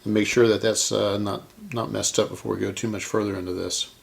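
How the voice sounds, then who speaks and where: A man with a gravelly voice casually narrating his hands-on repair work as host, musing and thinking aloud as he goes. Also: fairly low pitch, little pitch movement, brisk. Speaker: host of a vintage radio repair video.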